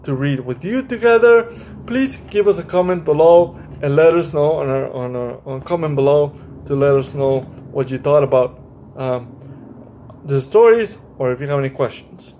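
A young adult man hosting a children's story time, speaking haltingly and often repeating his phrases. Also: on the high side, animated, warm tone, slight background noise. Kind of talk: children's story time, read-aloud and chat to viewers. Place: small bright room indoors.

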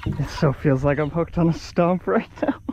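A man's voice: a little high, moderate pace, creaky, a little hiss or hum, rough recording. A young man on a small boat filming his fishing trip, chatting and exclaiming as he fights a catch. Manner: excited, exclaiming over the catch. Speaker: fishing vlogger. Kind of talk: casual chat while fishing. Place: small boat on open water outdoors.

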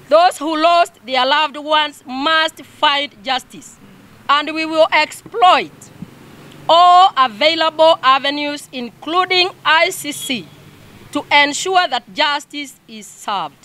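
A woman's voice: high-pitched, sharp tone. A middle-aged woman with a Kenyan accent formally reading a prepared press statement outdoors. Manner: formal, deliberate. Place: outdoors among a group of people. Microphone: cluster of handheld press microphones.

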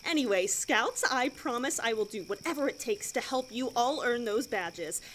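A woman's voice: fairly high pitch, expressive, normal speed, a little hiss or hum, close to an everyday voice. An actress playing a relentlessly cheery, blithely macabre female scout leader in an audio drama, chirpy and instructing. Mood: mock solemn.

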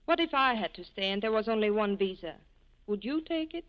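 An actress playing a devoted, anxious wife, earnest and pleading, in 1940s radio acting style. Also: female voice, mid-range voice, animated, a little fast, lightly acted. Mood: insistent. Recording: dull 1940s radio broadcast recording.

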